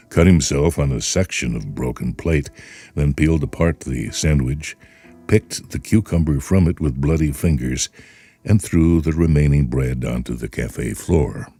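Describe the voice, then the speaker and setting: A man reading a story aloud in a measured, expressive way. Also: rumbling low, slightly rough, no echo. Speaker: narrator. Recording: music bed under the voice.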